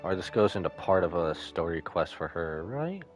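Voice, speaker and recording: A man's voice: low voice, very animated, normal speed. A man livestreaming a game, casually thinking aloud as he comments. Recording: game music behind the voice. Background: game music.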